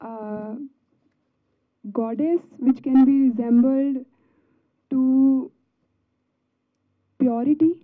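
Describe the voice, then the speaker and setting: A woman, a film actress, answering a press question with halting, thoughtful pauses. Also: high-pitched, phone-quality sound. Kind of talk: answering a media question in English.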